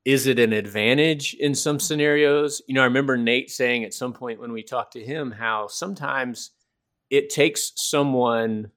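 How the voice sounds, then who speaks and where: A man's voice: medium pitch, moderate pace, a bit bright. A man from North Carolina who hosts a casual interview, musing aloud and asking open questions. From North Carolina, United States. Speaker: podcast host.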